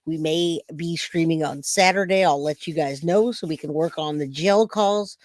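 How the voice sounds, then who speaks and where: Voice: mid-range voice, normal speed, no echo. A livestream host chatting casually to viewers and giving informal commentary.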